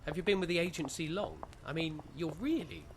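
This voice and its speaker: A woman's voice: low-pitched, a little fast, background noise, lightly acted. A woman playing a down-to-earth, chatty woman in a naturalistic radio play.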